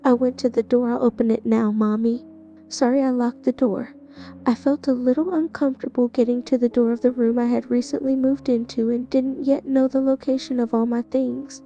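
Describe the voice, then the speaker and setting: A woman's voice: on the high side, fairly even intonation, moderate pace, mellow, lo-fi sound, soft background music. A woman narrating a horror audio roleplay in the first person, with an earnest, caring manner. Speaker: narrator and lead character.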